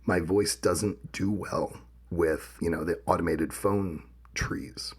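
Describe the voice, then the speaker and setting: Gravelly deep-voiced man. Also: fairly even intonation, slow pace. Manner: personal, reflective, tells anecdotes. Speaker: podcast co-host. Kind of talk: casual conversation.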